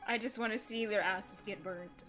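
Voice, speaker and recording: A woman's voice: mid-range voice, fairly even intonation, normal speed. A woman livestreamer reacting casually to a video game, chatty, blunt and full of slang. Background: video game music.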